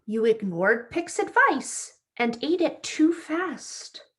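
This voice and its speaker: A woman voicing a wise, reassuring storybook character in a measured, explanatory way. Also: high-pitched, big pitch swings, a bit bright, exaggerated voice.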